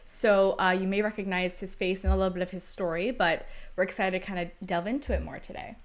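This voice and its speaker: A woman's voice: mid-range voice, fairly even intonation, fast. Woman hosting a casual podcast. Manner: friendly, short encouraging backchannels. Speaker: podcast host.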